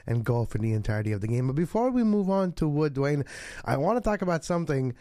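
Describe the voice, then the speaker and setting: A man's voice: fast talker, dry recording. Chatty male sports podcast host, dry sound. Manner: chatty, laughs easily, excitable jumps in pitch. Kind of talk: casual sports chat.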